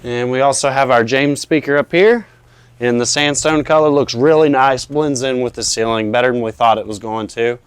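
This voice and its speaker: A man's voice: mid-range voice, moderate pace, clean tone, dry recording. American male installer, informal explanatory vlog tour, clean resonant mid-range voice, recorded outdoors in a backyard. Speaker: audio-video installer presenting a finished project. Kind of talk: casual walkthrough vlog.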